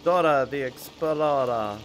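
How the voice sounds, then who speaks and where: A man's voice: medium pitch, slow. A man giving casual let's play commentary as a streamer, with dry, wry asides. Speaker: video game streamer. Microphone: studio condenser mic. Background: faint game music.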